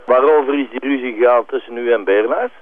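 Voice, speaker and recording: An actor playing a blunt, needling Flemish police investigator in a crime drama, direct and insistent. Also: male voice, medium pitch, fast, a little hiss or hum, natural voice, poor audio. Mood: blunt, probing. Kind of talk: naturalistic TV crime drama dialogue.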